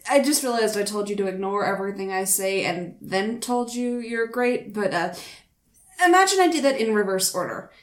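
A woman's voice: mid-range voice, lively intonation, moderate pace, roomy sound, voice pushed up, natural voice. A woman voicing a sheepish young man who rambles and mocks himself. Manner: rambling, self-deprecating, fillers.

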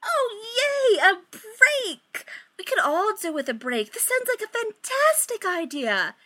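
Sarcastic chorus of young guys, put on by a woman, squealing high and overdone. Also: sing-song, unhurried, bright, forceful tone, full character voice. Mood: mocking. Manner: exaggerated fake enthusiasm. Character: group of young men, mock-cheerful.

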